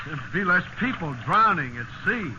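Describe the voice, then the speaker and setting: A man's voice: sits high, heavily acted. An actor playing a wry, grumbling crewman in a lifeboat in a 1950s radio drama. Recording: muffled, noisy old radio recording.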